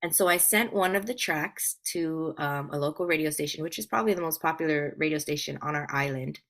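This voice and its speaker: A woman's voice: low-pitched, a little fast, a bit bright. A woman speaking as a life coach guest in a casual interview, telling personal stories in an earnest, reflective way.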